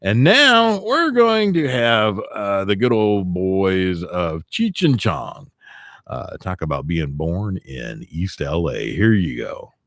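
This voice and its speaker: A male morning radio host talks in a relaxed, chatty way, his low voice rising and falling a lot. He muses aloud and drops in the odd filler while he speaks. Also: slow pace, no room sound. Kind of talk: morning radio talk, reading from a self-help book.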